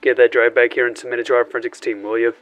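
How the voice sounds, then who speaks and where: An actor playing a calm, calculating, authoritative administrator in a sci-fi audio drama, speaking formally and deliberately. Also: male voice, mid-range voice, no echo, dull audio, faint music behind, natural voice. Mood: commanding, approving.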